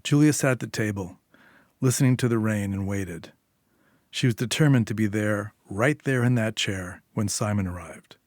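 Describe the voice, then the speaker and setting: A man's voice: on the low side, moderate pace, dry recording, clean studio sound. A man narrating a literary short story as its author. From United States.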